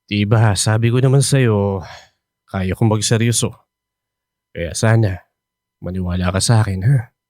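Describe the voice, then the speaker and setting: A lowish male voice from an actor plays a lovestruck older father in a radio drama. He is earnest and tender, with halting, stammering phrases. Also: a little mellow, no echo, studio quality, lightly acted.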